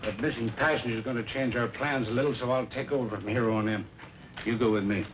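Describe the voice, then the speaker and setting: An actor playing a cold, greedy American smuggling boss in an old western radio drama, curt and bossy. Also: male voice, medium pitch, fairly even intonation, quick pace, lightly acted. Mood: menacing, commanding. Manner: curt, bossy, hard-boiled slangy talk. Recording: muffled, very noisy old radio recording.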